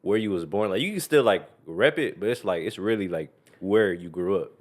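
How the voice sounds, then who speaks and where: Young man with a low voice, easygoing podcast chat. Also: expressive, fairly quick. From Columbus, Ohio. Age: young adult. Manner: easygoing, agreeing, slangy. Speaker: podcast co-host.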